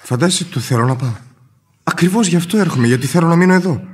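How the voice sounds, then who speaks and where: An actor playing an earnest, proud young nephew in love in a radio drama. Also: male voice, on the high side, expressive, breathy edge. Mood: pleading. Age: young adult. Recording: noisy old radio recording.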